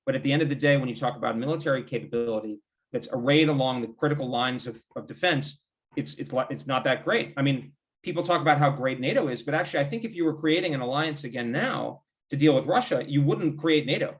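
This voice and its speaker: American male foreign policy analyst, informal interview guest, discursive and self-correcting. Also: mid-range voice. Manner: discursive, halting, qualifies and rephrases himself often.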